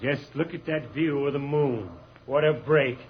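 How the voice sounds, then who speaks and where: An actor playing a spirited, plain-talking rocket engineer in an old radio space drama. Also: male voice, mid-range voice, a little slow, lightly acted. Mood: wry, game. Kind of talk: 1950s radio drama acting. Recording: dull, faintly noisy old radio.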